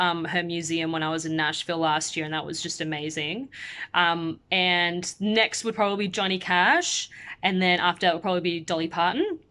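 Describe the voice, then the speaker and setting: A woman's voice: on the low side, sharp tone. A young woman from Australia with a mixed Australian and American accent, a singer-songwriter chatting casually and upbeat while answering fan questions.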